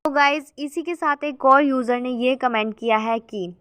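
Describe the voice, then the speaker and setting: A woman's voice: high-pitched, normal speed, a bit bright, clean tone, no echo. A woman hosting a gossip channel, chatting casually as she reads out viewer comments and keeps addressing her viewers as guys.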